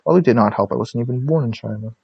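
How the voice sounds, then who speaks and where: A man's voice: medium pitch, rapid-fire, gravelly, husky, no room sound, lo-fi sound. Rambling, self-mocking young man. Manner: rambling, self-deprecating, jokey asides. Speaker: YouTuber. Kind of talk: casual vlog narration.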